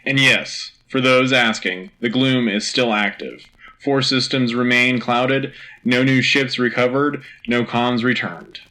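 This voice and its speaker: Guy acting as war radio anchor, crisp forceful voice, dramatic clipped news bulletins, solemn and earnest. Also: mid-range voice, steady tone, a little slow, low-quality recording. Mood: urgent, resolute. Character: Joe Turner, earnest propaganda-era war news anchor. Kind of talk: scripted wartime news broadcast acting.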